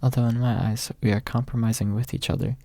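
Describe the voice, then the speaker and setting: A man voicing a courteous, formal young knight in a soft story reading. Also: medium pitch, level tone, fast talker, vocal fry, dry recording, lightly acted. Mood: measured.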